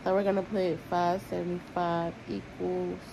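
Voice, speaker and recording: A woman's voice: lowish voice, little pitch movement, moderate pace, gravelly, background noise. A young woman, chatting casually and bluntly to her vlog audience while tallying money aloud. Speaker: vlogger. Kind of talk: casual vlog talk to camera.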